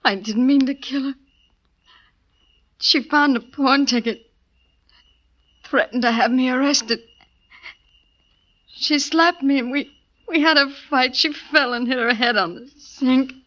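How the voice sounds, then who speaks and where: An actress playing a guilty, distraught woman who speaks haltingly and pleadingly in 1940s radio melodrama style. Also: sits high, fairly bright tone. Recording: muffled old 1940s radio recording.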